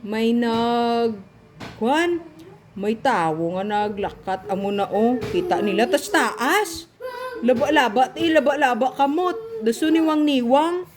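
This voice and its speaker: A Filipino woman with a Visayan accent telling an improvised story to children, animated and often directing them, in a noisy recording. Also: fairly high pitch, slow.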